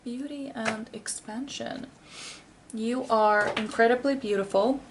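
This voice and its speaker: Finnish woman, non-native English, tarot reader; unhurried, earnest, stops to rephrase. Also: a little high, background noise. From Finland. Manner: slow, searching, rephrases often. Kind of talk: pick-a-card tarot reading.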